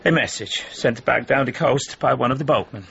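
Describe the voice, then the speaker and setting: An actor playing a guarded, worldly man in a naturalistic radio drama, speaking in a measured, matter-of-fact, knowing way. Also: medium pitch, creaky, a bit bright, husky, lo-fi sound, full character voice. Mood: grave, guarded.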